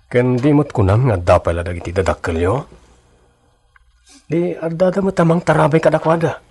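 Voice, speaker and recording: An actor with a Filipino Ilocano accent playing a polite, determined suitor of twenty-three in a radio drama, with a husky voice. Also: male voice, fairly high pitch, moderate pace, a little mellow. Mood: earnest, reassuring. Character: earnest 23-year-old suitor, polite and determined.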